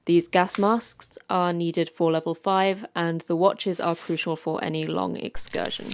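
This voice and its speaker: A woman's voice: on the low side, expressive, a little slow, noisy background. A woman playing the terse, stern manager of a secret facility in a sci-fi horror audio drama, speaking in clipped, commanding instructions. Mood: grave, instructive.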